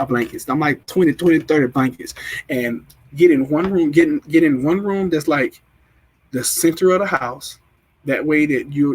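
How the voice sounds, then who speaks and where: A man with a drawl talks loosely to his livestream viewers. His voice is husky and sits a little high, and he circles back and restarts his sentences as he goes. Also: poor audio. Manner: rambling, repeats himself, restarts often. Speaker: livestream host. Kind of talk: casual livestream chat, reading viewer comments.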